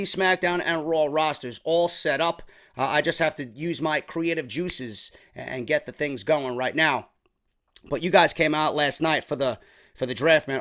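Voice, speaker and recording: A young man from New York, chatty and slightly hoarse from a sore throat, reviewing a wrestling show in a casual vlog. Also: fairly high pitch, fairly bright tone. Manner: chatty, keeps calling viewers "man".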